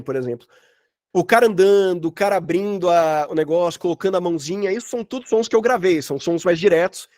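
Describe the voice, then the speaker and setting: A man's voice: sits high, no room sound, clean studio sound. A Brazilian man teaching an informal online sound design workshop, explaining examples in a casual, rambling, slangy way. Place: at home, online session.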